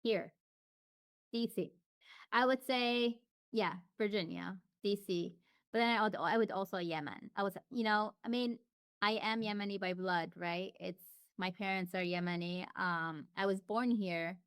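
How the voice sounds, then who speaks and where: A woman's voice: mid-range voice, unhurried, smooth, no room sound, clean studio sound. A woman as an interview guest, talking casually and reflectively with frequent restarts and fillers. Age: adult.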